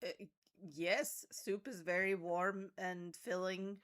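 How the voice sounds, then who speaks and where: Brief: female roleplayer, slightly low pitch, precise and matter-of-fact delivery. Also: fairly even intonation, slow pace, fairly bright tone. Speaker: player in tabletop game. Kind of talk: in-character roleplay.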